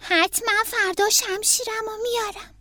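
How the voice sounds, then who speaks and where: A woman's voice: very high-pitched, steady tone, sharp tone, no room sound, studio quality, voice pushed up. A woman voicing an eager, earnest young boy turtle in a children's storybook. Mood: eager, brave.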